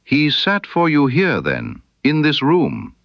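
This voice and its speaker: A man voicing a shrewd, composed gentleman detective in an audiobook, speaking with precise, quietly controlled insistence. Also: fairly high pitch, wildly swooping pitch, takes it slowly, dry recording, lo-fi sound, voice pushed up, exaggerated voice. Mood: probing, insistent. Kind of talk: character dialogue in an audiobook.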